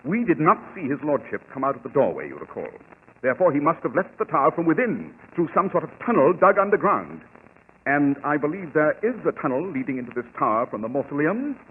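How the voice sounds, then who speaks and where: On a crackly, thin old radio recording, a keen English gentleman detective. His speech is precise and formal, pitched fairly high, swinging widely with theatrical command and complete self-assurance. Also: sing-song, quick pace, phone-quality sound. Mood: assured, explaining. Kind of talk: 1940s radio acting.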